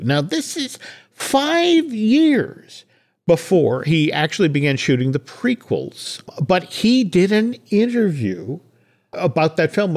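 A man hosting a podcast, talking in a casual, chatty way about entertainment news, often repeating words for emphasis. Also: fairly high pitch. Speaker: podcast host.

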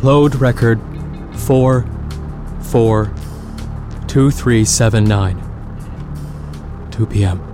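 An actor playing a weary, anguished and stubborn space traveler in an audio drama, muttering and exasperated. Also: male voice, mid-range voice, very slow, pauses often, over music.

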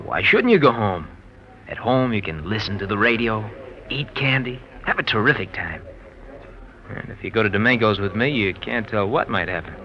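A brash, smooth-talking American gambler from a 1940s radio drama. He cajoles and needles in slangy phrases, his pitch jumping around freely. The sound is muffled, lo-fi vintage radio. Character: smooth-talking, brash male professional gambler, pushy charmer. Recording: dull, lo-fi old radio recording.

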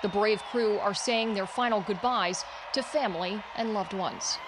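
An actress playing a composed, formal news reporter covering a rocket launch in an audio drama. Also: female voice, mid-range voice, normal speed, a crowd in the background, noisy background, close to an everyday voice. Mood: formal, excited.

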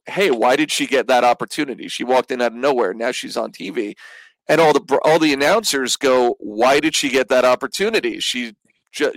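A man's voice: mid-range voice, fairly bright tone, no echo, poor audio. A man hosting an interview show, asking long, upbeat questions with restarts. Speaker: podcast host.

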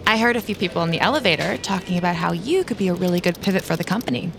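A woman's voice: on the low side, racing, gravelly, noisy background. A woman playing a flippant, chatty office employee in an audio drama, breezy and casual in manner. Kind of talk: naturalistic audio drama acting.

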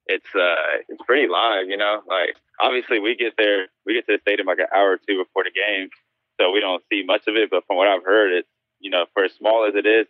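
A man's voice: deep voice, no echo. Easygoing young man on a phone line. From born in Spain, raised in South Carolina. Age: 20s. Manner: easygoing, laughs often, short answers. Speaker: guest, college football prospect. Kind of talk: casual interview answers.

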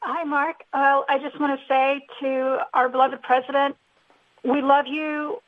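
A woman calling a radio show from her car, speaking in a warm, earnest way. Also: sits high, flat delivery, a little slow. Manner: warm, devoted, gently earnest. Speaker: caller. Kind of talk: radio call-in. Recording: muffled phone line.

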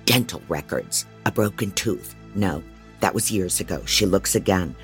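A woman's voice: very deep voice, moderate pace, faint music behind. An actress playing a blunt, disorganized female private investigator, narrating an audio drama in a wry way. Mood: wry, grim.

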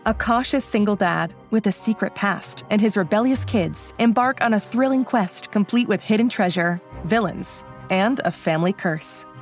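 A woman's voice: mid-range voice, moderate pace, hardly any pauses, faint music behind. A woman announcer delivering a polished, scripted podcast advert. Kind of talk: scripted promotional read.

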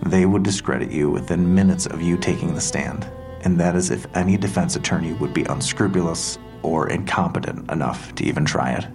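An actor playing a calm, blunt police detective who questions someone in a measured, grave manner. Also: male voice, low voice. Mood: blunt, controlled. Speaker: police detective in an audio drama. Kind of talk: dramatic interrogation dialogue. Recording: music under the voice.

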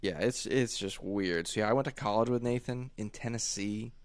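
A man from Central Florida co-hosting a sports podcast, talking in a wry, offhand way that trails off quietly. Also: on the low side, moderate pace, no room sound. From Central Florida, USA. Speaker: podcast co-host. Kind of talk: casual podcast chat.

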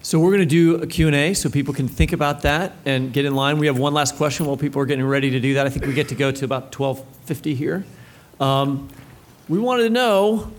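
A man's voice: a little high, fast talker. A man co-hosting a live interview, moderating and asking questions in a casual conversational style. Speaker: co-host and moderator.

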